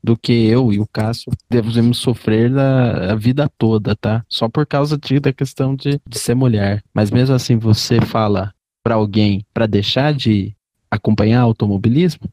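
A man's voice: medium pitch, normal speed, dark tone, dry recording. A man with a Brazilian accent talking casually as a guest in a group discussion. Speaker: panel guest.

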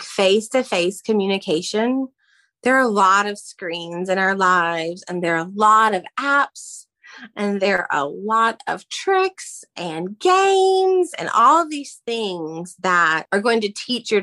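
A woman in her thirties, a former teacher and mother, answering interview questions in an earnest, animated way. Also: medium pitch. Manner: earnest and animated, warm emphasis on key phrases. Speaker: guest, former teacher and mom.

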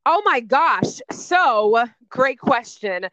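A woman's voice: high voice, sing-song, very slow, bright, forceful tone, highs cut off. A woman guest talking about her career in an emphatic, self-assured way in a podcast interview. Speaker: guest, fitness and wellness coach. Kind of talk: energetic interview answers.

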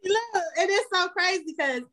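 A woman's voice: very high-pitched, expressive, normal speed, fairly bright tone. A woman in her forties with an American accent, co-hosting a podcast and telling personal stories in casual, easygoing slang. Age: 40s. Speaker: podcast co-host.

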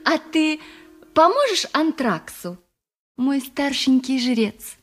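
A woman's voice: high-pitched, lively intonation, slow, fairly bright tone, faint music behind, exaggerated voice. An actress playing a coy, languid young woman who models for a priest in a radio play. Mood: languid, teasing. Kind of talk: 1980s Soviet radio play acting.

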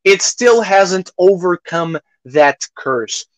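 A man's voice: high voice, lively intonation, slow, dry recording. A man co-hosting a podcast, casually discussing movies in an opinionated, emphatic way. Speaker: podcast co-host.

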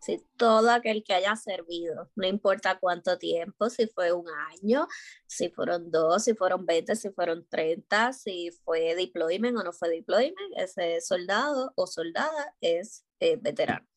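A woman hosting a podcast, talking in a quick, casual way. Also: on the low side, no room sound. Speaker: podcast host.

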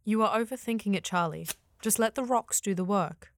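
A woman playing a calm, careful traveler in a fantasy audio drama, speaking in measured, formal phrases. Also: mid-range voice, natural voice. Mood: calm, gently teasing. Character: Ruth, calm, serious, careful female traveler.

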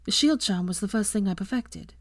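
Woman as a soft, high-voiced modest teenage witch. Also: lively intonation, fairly quick, no echo, studio quality, lightly acted. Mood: shaken, subdued. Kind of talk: audiobook character voice.

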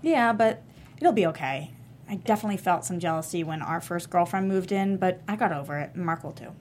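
An actress playing a warm, frank young woman in a naturalistic comedy table read. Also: lowish voice, expressive, brisk, natural voice. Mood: reassuring. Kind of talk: naturalistic comedy script reading. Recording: faint background noise.

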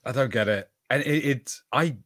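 A man's voice: medium pitch, moderate pace, a bit bright, no room sound, studio quality. A man co-hosting a podcast in casual watch-party chat. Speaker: podcast co-host.

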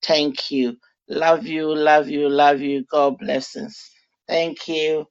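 Slow blessing woman, dull audio. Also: sits low, flat delivery, smooth. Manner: affirming, preacher-like blessing. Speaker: fan sending a message. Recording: dull lo-fi recording.

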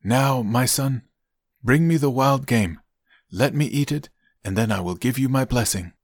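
A man voicing an old, frail father in a Bible story, solemn and deliberate as he declaims. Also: medium pitch, dry recording, clean studio sound, pitched above the performer's own voice. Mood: tender, expectant. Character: old frail solemn father, a biblical patriarch blessing sons.

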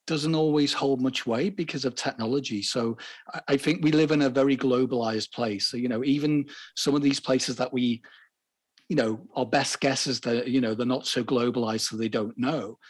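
A man in a casual panel discussion, speaking reflectively and in a measured way, as if thinking aloud. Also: mid-range voice, steady tone.

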